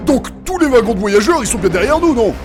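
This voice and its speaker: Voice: medium pitch, very animated, fast, natural voice. A performer playing a sarcastic, cynical, grumbling young man in a French comedic fantasy audio drama, blunt and foul-mouthed. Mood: brisk. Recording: music under the voice.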